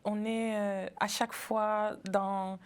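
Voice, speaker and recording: A woman's voice: mid-range voice, flat delivery, clean tone. Cameroonian woman, laughing easily. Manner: friendly, laughs easily, thoughtful pauses with fillers. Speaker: festival founder, guest. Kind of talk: TV interview conversation.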